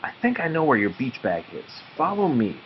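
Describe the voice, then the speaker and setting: A man voicing an earnest, deadpan boy detective in a children's storybook read-aloud. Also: mid-range voice, brisk, muffled recording, slight background noise, pitched above the performer's own voice. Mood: earnest, assured.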